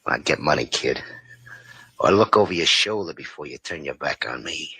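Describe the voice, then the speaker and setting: A man's voice: very deep voice, expressive, normal speed, low-quality recording. An actor playing a menacing, sardonic cafe keeper, a shady tough guy in hardboiled old radio drama style.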